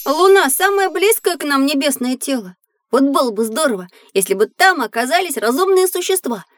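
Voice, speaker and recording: A naive, eager schoolboy in an educational audio drama. The boy's voice is high and expressive, full of curiosity. The sound is close and dry, with little room in it. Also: a little fast, no room sound. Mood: wistful. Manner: inquisitive, expressive.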